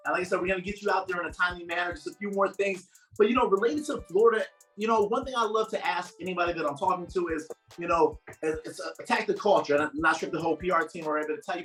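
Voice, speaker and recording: A man's voice: high voice, fairly bright tone. A man interviewing a guest in a casual, rambling way, full of fillers and eager asides. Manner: rambling, filler-heavy, enthusiastic. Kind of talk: casual interview over a call. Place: home in northern New Jersey.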